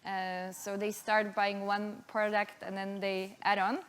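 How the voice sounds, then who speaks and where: Polish-born founder, 30s, female; hesitant investor-pitch delivery, lots of "uh". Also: level tone, measured pace, a bit bright, smooth. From Poland. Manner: hesitant, many uh and um fillers.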